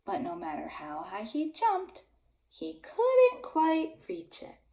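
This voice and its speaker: A woman's voice: high voice, huge pitch swings, takes it slowly, vocal fry, warm tone, roomy sound. A woman reading a storybook aloud to young children like a teacher, with simple, emphatic phrasing.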